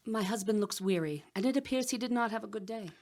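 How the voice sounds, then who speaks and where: An actress playing a clever, composed young bride of a sultan in a radio drama, speaking formally and deferentially. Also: female voice, medium pitch, quick pace, darkish tone, lightly acted.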